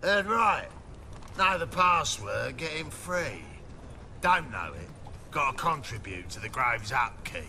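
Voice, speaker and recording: Surly gatekeeper, slow drawn-out pace, very lively pitch, gruff mockery, fantasy game. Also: male voice, slight background noise, heavily acted. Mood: demanding. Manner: gruff, taunting, colloquial. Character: surly, mocking male slum gatekeeper thug. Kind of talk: dramatic video game dialogue.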